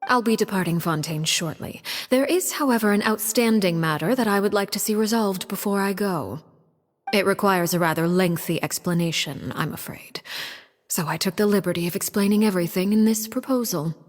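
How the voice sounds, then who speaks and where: An actress playing a poised, coolly menacing aristocratic diplomat in a video game, speaking in a formal, measured manner. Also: female voice, mid-range voice, big-room sound, close to an everyday voice. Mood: formal, composed. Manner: formal, measured, veiled threats. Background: music.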